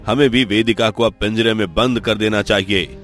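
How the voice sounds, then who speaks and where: Man playing a calm worried king. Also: on the low side, moderate pace, soft background music, natural voice. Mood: concerned. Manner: calm, courteous.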